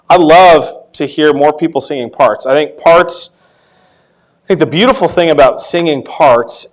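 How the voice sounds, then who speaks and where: Halting man teaching a church class. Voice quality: tenor voice, not deep. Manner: halting, many restarts and fillers. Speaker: Sunday school teacher.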